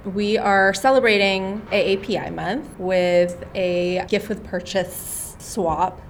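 A woman in her thirties hosts a casual podcast chat and sounds enthusiastic and bubbly. She speaks at a medium pitch. Faint noise runs underneath. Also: steady tone, takes it slowly. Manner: bubbly, enthusiastic, with bright exclamations. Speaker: podcast host, small business owner.

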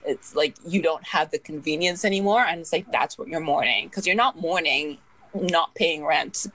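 A woman in her twenties talks through her thoughts on a casual podcast, with a voice that sits a little low. She rambles and scatters "like" through nearly every sentence. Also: normal speed, a bit bright, low-quality recording. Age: 20s. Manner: rambling, leans heavily on "like". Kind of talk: casual chat.

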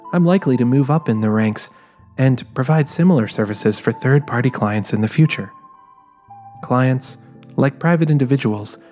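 A man's voice: mid-range voice, lightly acted. A man playing a guarded, driven female private eye, narrating introspectively in a scripted audio drama. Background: quiet music.

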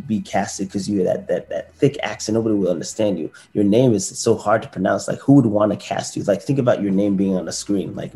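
Man, low pitch, mellow tone, casual motivational podcast talk, lots of "like" and "you know". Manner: earnest, rambling with many fillers. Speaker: podcast co-host.

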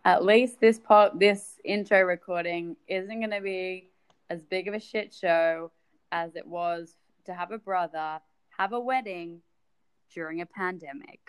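A woman's voice: lowish voice, measured pace, clean tone, rough recording. A woman speaking casually in a blunt, irreverent way. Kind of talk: casual informal speech.

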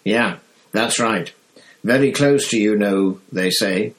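A man voicing an excitable, gossipy young bus conductor who chatters in slang. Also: on the low side, slow pace.

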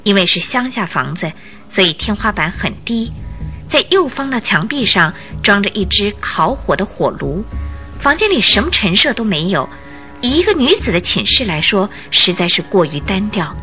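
A woman giving expressive, suspenseful scripted narration for a detective radio drama. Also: mid-range voice, moderate pace, soft background music.

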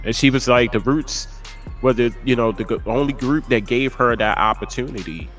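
A man co-hosts a casual talk show, putting questions to the guest in an easygoing way. His pitch sits mid-range, and faint music plays in the background. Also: normal speed, a bit bright. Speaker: podcast co-host. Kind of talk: casual chat.

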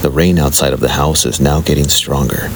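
A man's voice: extremely low pitch, steady tone, fairly quick, noisy background. A man narrating a spooky radio play in a solemn, scripted storytelling style.

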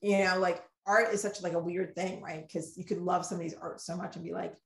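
A woman guest on a podcast, talking in a loose, animated, rambling way and acting out the things people say to her. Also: fairly low pitch, fairly quick, vocal fry. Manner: animated, rambling, acts out quoted exchanges. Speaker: romance author guest. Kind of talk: casual podcast chat. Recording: roomy echoey room sound.

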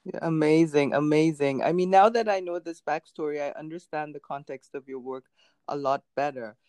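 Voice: mid-range voice, normal speed, darkish tone, no room sound. An interviewer hosting a casual conversation, asking questions and giving short affirming backchannels. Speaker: interviewer, podcast host.

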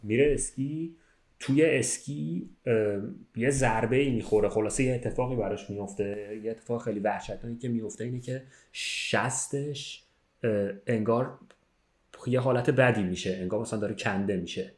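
A man telling a story casually in conversation, checking in with the listener as he goes. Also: mid-range voice. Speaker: podcast speaker telling a story. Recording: roomy, echoing sound.

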